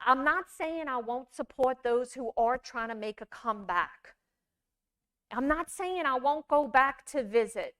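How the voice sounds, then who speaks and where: A woman giving a scripted storytelling performance, with deliberate, measured phrasing and dramatic pauses. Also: sits high, lively intonation, fairly bright tone. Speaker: monologue performer.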